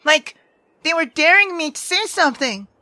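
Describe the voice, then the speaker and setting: A man's voice: extremely high pitch, animated, normal speed, pushed and bright, dry recording, exaggerated voice. A man voicing a feisty, indignant young woman from a visual novel, sarcastic and dramatic. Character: feisty, sharp-tongued young woman venting her grievances. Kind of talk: visual novel character reading.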